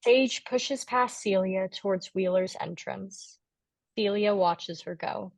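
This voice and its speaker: A woman's voice: medium pitch, takes it slowly, vocal fry, no echo. A woman writer-director introducing a table read and reading out the stage directions as scripted narration.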